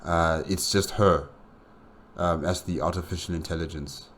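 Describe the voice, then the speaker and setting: A man hosting a solo reaction video, commenting casually in a musing, halting way. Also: sits low, steady tone. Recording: faint background noise.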